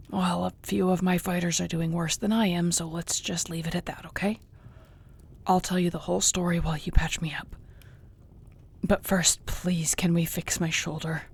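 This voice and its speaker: A woman voices a tough orc girlfriend in a fantasy audio roleplay. Her voice is low and breathy, with a gravelly creak. She talks intimately and affectionately, wry and wearily playing down her scrapes. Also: a little mellow. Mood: weary, stubborn. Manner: sighing, conversational, wearily understated.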